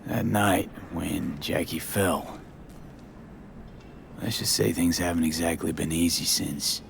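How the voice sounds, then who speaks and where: Game role: grieving street merc, male, deep, low-key, sincere, terse, slight background noise. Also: steady tone, slow, gravelly, heavily acted. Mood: subdued, sombre. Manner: low-key, clipped sentences dropping first words. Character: guarded young male street mercenary, earnest, subdued, grieving friend. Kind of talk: cinematic video game acting.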